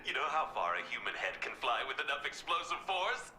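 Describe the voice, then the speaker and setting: A manic clown villain for a video game, with a piercing, bright delivery that swoops wildly from shrill to low. He is theatrical and taunting, flirting as he mocks, in a roomy, echoing space. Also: big pitch swings, fairly quick, a little hiss or hum. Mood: flippant, menacing. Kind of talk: video game cutscene acting.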